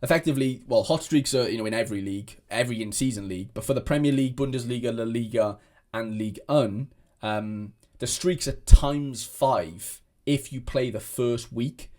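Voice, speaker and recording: A man's voice: medium pitch, moderate pace, a little mellow. Rambling young man. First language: Welsh. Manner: rambling, many restarts and fillers. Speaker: fantasy football content creator. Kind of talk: casual chat to camera.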